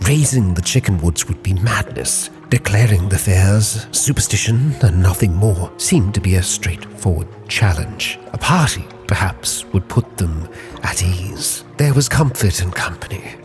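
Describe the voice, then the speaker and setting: Deep voiced guy narrating a dark roleplay tale, slow n solemn, kinda theatrical. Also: lively intonation. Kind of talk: dramatic first-person scripted narration. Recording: prominent music behind the voice.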